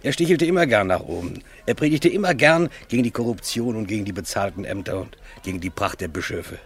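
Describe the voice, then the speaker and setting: An actor playing a cynical cleric with a husky voice, speaking in a sneering way. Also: male voice, fairly low pitch, animated, quick pace. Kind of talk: radio play acting.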